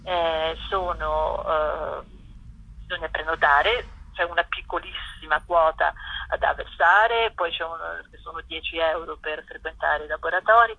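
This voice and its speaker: A woman's voice: deep voice, steady tone, a little slow, creaky, dry recording. A woman speaking as a festival curator in a spontaneous radio phone interview, often searching for words. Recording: muffled, dull phone line.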